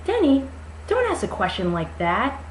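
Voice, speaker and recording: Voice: sits high, wildly swooping pitch, normal speed, voice pushed up. A performer voicing a coy, gushing young woman, an exaggerated fiancee in a comic dramatic reading. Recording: roomy echo with faint noise.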